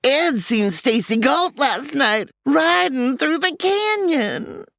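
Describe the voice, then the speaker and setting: A woman plays a motherly old frontier landlady who fusses over her cooking in a homespun drawl. Her lively, swooping voice sits a little high and moves at an unhurried pace, recorded dry and close. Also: gravelly, dry recording, exaggerated voice. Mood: fussing. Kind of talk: comedy audio drama acting.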